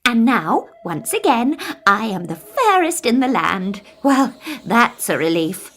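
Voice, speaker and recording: A vain, wicked storybook queen, played by a woman narrator, haughty and commanding. Her delivery is highly animated and swoops upward, over a music backing. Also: a little slow. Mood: gloating. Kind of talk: children's storybook character voice.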